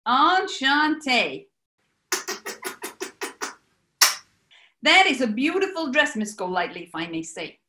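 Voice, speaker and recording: A self-important New bar boss, played by a woman, talks in a high, bright voice with slick flattery. It is 1940s radio comedy acting in an echoing room. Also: moderate pace, slight background noise, full character voice. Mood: pleased, flirtatious. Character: smooth-talking, flattering male New York bar owner and gangster type.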